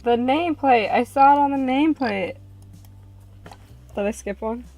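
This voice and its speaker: A woman with a fairly high voice plays along in a group game session. She reads her lines with a dry, awkward touch and drops back into casual chat. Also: normal speed, dark tone, soft background music. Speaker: co-player voicing the heroine in a playthrough.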